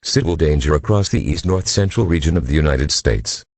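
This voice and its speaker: A man's voice: very deep voice, animated, a little fast, very noisy. A man with a synthetic-sounding voice reading a scripted emergency alert in a formal, impersonal manner.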